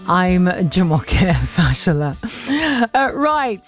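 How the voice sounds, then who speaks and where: High-voiced woman presenting, playful and dry-sounding. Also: dry recording. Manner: teasing, playful. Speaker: radio presenter. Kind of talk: conversational radio interviewing.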